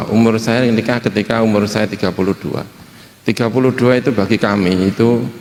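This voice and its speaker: A man's voice: on the low side, slight background noise. A middle-aged man giving an informal, joking religious talk as a cleric. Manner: self-deprecating, joking, conversational. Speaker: Islamic cleric (kiai). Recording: echoey hall with long reverb.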